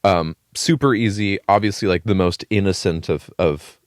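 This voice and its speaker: A man's voice: low voice, normal speed, no echo, studio quality. A young man co-hosting a podcast, telling a long story in a casual, rambling way with fillers and the odd swear word. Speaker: podcast co-host. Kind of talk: casual chat.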